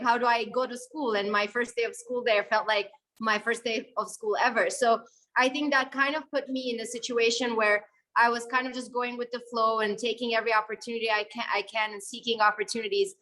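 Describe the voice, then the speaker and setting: A young woman from Syria, a guest videographer, telling her story in a lively, conversational interview answer with many fillers and restarts. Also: a little high, low-quality recording.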